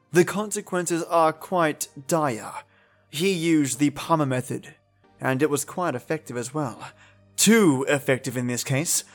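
A man introducing old radio episodes as a podcast host, in a playful, theatrical way. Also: a little high.

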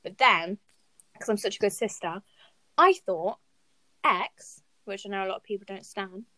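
A woman's voice: medium pitch, normal speed, bright, forceful tone, no echo, rough recording. A young woman co-hosting a podcast, talking informally and animatedly with her friends. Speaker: podcast co-host. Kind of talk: casual chat among friends.

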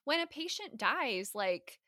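A woman's voice: high voice, sing-song, unhurried, pushed and bright, no room sound, clean studio sound. A woman from the US Midwest hosting a podcast, talking casually with lots of "like" and fillers. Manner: chatty, filler-heavy with frequent "like". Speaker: podcast host. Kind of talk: casual interview chat.

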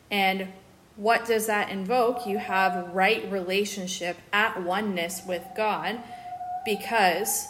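A woman co-hosting a Bible study podcast, explaining word meanings in a calm, teacherly way. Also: medium pitch, background noise. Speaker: podcast co-host.

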